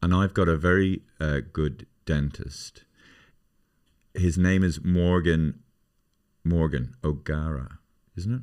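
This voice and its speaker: A man's voice: rumbling low, fairly even intonation, takes it slowly, a little mellow, smooth. A man in his fifties hosting a podcast, rambling through profane, self-mocking casual chat. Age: 50s. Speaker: podcast host.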